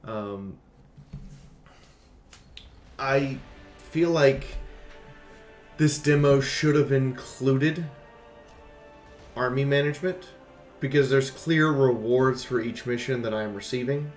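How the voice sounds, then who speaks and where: A man's voice: mid-range voice, takes it slowly, pauses often, echoey room, faint music behind. A man giving opinionated game review commentary, speaking bluntly and emphatically and repeating phrases for stress.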